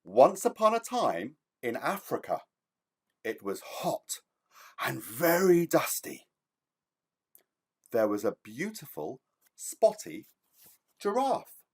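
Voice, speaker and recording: An enthusiastic man presents and reads to young children as a storyteller. His pitch swings widely and he takes his time, leaning on words with theatrical emphasis. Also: sing-song, slow, pauses often. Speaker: children's author reading a child's story aloud.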